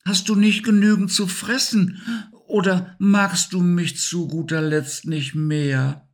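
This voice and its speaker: A man voicing a small, anxious, tearful male hamster in a storybook reading, squeaky and dramatic. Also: steady tone, slow. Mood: hurt.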